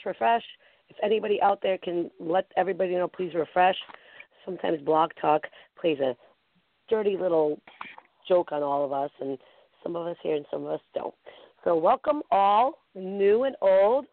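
A woman hosting a live internet talk show, with a deep, raspy voice gone hoarse, rambling excitedly and talking to her chat room. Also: no room sound. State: hoarse from a concert. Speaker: podcast host. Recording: tinny phone-line audio.

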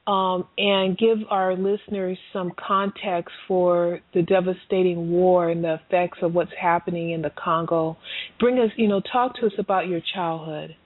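A woman's voice: on the low side, fairly even intonation, moderate pace, dry recording. A woman host asks long, wandering questions, often restarting as she searches for words. The sound is dry and thin, like a phone line. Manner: rambling, stumbling, searching for words. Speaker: radio host. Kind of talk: interview questions.

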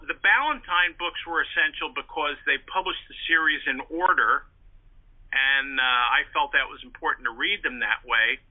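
An older man from the Northeastern United States, an interview guest telling anecdotes casually and repeating words as he goes. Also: fairly high pitch. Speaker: interview guest, writer and historian. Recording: tinny, band-limited phone line.

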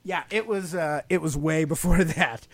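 A man's voice: fairly high pitch, normal speed. A man with an American accent co-hosting a podcast in a casual, teacherly chat, asking questions and explaining things simply to a child. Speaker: podcast co-host.

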